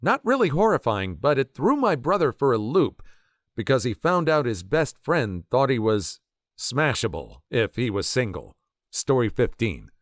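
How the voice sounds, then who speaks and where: A man narrates a string of stories in a medium-pitched voice that swings widely and expressively. The sound is dry and close, with no room around it. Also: dry recording. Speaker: story narrator. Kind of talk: reading internet stories aloud.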